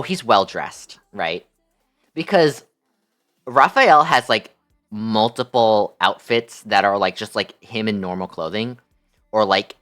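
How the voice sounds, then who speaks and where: A man's voice: on the low side, a bit bright. A young man livestreaming, chatting casually with his viewers in a playful, affectionate manner.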